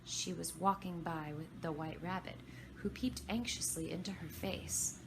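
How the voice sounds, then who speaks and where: A woman reads a children's story aloud in a low voice with a creaky edge. She narrates as a volunteer reader, with some noise behind her. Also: steady tone, unhurried.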